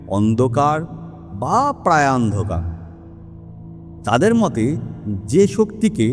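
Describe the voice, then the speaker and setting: A man playing a learned detective, deliberate and theatrical as he expounds in an audio drama. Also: a little high, a little mellow. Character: learned male detective solemnly expounding an occult mystery. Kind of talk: Bengali audio story dramatic acting.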